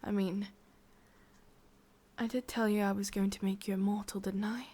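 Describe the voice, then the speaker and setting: A woman with an English accent voicing an ancient, possessive, wistful witch in a slow, intimate, breathy ASMR whisper. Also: mid-range voice, level tone, dark tone, lightly acted. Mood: confiding. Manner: slow, intimate, musing, with lingering pauses. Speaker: ASMR roleplay performer. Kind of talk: whispered ASMR roleplay monologue.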